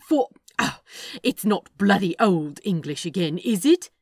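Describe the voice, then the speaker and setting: Prim male angel voiced by a woman: slow, precise, prissy, indignant but fond, very expressive. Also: fairly low pitch, breathy edge, no echo, studio quality, full character voice. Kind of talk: fan fiction dialogue reading.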